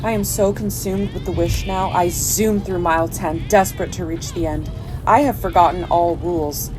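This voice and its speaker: A woman's voice: lowish voice, fairly even intonation, moderate pace, lightly acted, rough recording. A woman playing a guilt-ridden, desperate young mother, narrating a first-person horror audio play in a breathless, pleading, dramatic way. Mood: anxious, desperate. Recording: music behind the voice.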